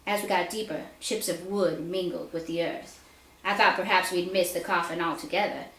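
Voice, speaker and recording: A woman reading a story aloud at a live indoor reading, narrating expressively and deliberately. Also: fairly low pitch, a bit bright. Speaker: author reading own fiction aloud. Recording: echoey room live reading.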